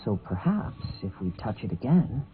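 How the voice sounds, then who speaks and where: An actor playing a calm, reflective young samurai in a video game, speaking in a measured, philosophical way. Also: male voice, lowish voice. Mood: calm, pensive. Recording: dull lo-fi game capture with music.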